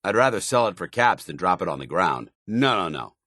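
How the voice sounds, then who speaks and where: An actor playing a stern, loyal soldier in a video game, speaking in a clipped, military, commanding manner. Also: male voice, low-pitched, very animated, a little fast, sharp tone, no echo, studio quality, full character voice. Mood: stern disapproval. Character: stern, loyal male soldier, zealous armored paladin companion. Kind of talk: scripted video game companion dialogue.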